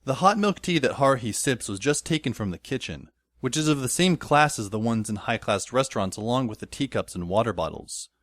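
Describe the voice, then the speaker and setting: A man's voice: medium pitch, lively intonation, fairly quick, few pauses, a bit bright, no echo, close to an everyday voice. A man playing a wry, weary teenage schoolboy who narrates an audio drama in a dry, sardonic way. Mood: wry narration. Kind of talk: first-person scripted audio drama narration. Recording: music under the voice.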